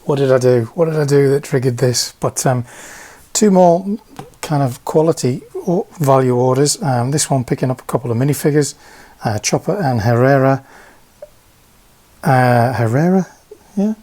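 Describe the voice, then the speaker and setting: A man's voice: mid-range voice, normal speed, mellow. A man chatting casually while packing orders, rambling and thinking aloud with lots of fillers. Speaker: online parts seller. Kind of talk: casual behind-the-scenes vlog chat.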